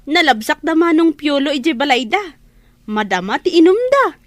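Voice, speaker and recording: A fretful little sister played by an actress with a Filipino accent. Her high voice swings up and down as she chides in radio drama style, recorded close and dry. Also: expressive, moderate pace, dry recording. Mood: chiding, concerned. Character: fretful young woman nagging her older brother.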